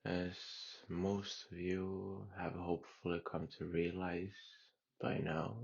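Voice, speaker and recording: A man guiding a meditation in a calm, unhurried way with long pauses. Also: deep voice, creaky, husky, lo-fi sound. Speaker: meditation guide. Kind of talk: guided meditation.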